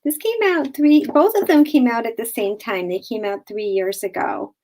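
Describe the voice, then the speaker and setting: A woman author guest on a video call, answering questions earnestly and haltingly. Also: a little high, dark tone. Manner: earnest, halting, many fillers and restarts. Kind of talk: casual interview answers.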